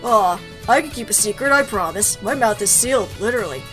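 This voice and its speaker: A woman's voice: mid-range voice, expressive, brisk, fairly bright tone, faint music behind, natural voice. A woman voicing an easygoing, joking male skeleton from a game, with a teasing manner. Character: laid-back joking male skeleton buddy.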